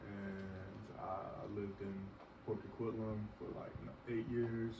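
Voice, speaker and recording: Hesitant low-voiced young man. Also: level tone, slow pace, far from the mic, muffled recording, background noise. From Canada. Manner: hesitant, with fillers. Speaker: workshop participant. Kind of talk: casual introduction.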